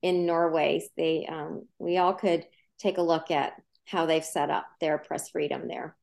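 A woman's voice: low-pitched, fairly even intonation, unhurried, gravelly, darkish tone. Woman, journalism professor as interview guest; earnest, explanatory, emphatic. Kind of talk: interview answers.

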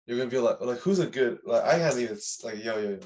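A young man hosts a casual podcast, his voice at an ordinary middle pitch. He talks in a slangy, excitable way and laughs readily at his guest's stories. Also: rapid-fire, rough recording. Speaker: podcast host. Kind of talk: casual chat.

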